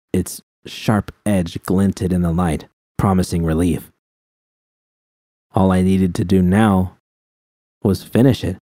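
A man reading first-person fan fiction aloud in a quiet, somber, measured way, close to the mic. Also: low voice, no echo. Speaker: audiobook reader.

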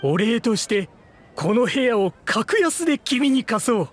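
A man's voice: extremely high pitch, big pitch swings, measured pace, exaggerated voice. A man playing a genial, businesslike landlord in a game, courteous and assured. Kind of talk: Japanese game voice acting. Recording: music behind the voice.

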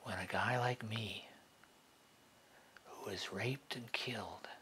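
A man playing a bitter, sardonic convict awaiting execution in a dramatic monologue, taunting and hushed, with long pauses. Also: on the low side, slight background noise. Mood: bitter, questioning.

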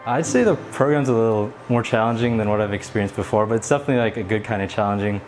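Earnest young man, lowish voice. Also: racing, music in the background. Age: young adult. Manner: earnest, loose with fillers. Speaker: student. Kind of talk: interview answers. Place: university lab, indoors.

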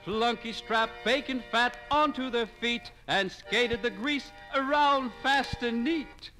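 American male, slow rhythmic verse recitation, theatrical, 1950s radio storytelling, faint music behind. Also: very high voice, bright, forceful tone. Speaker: radio narrator. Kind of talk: rhymed tall-tale recitation, 1950s radio.

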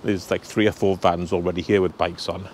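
Male travel vlogger, 50s, casual walk-and-talk outdoors, lowish voice. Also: level tone, a little fast, darkish tone, slight background noise. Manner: chatty, talking straight to camera while walking. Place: outdoors on a cold hillside. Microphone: handheld camera at arm's length.

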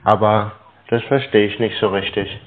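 A man's voice: fairly low pitch, steady tone, moderate pace. A man on an unscripted phone call, playing a naive customer who stubbornly keeps questioning. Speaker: prank-calling YouTuber posing as customer. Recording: slightly dull lo-fi phone recording.

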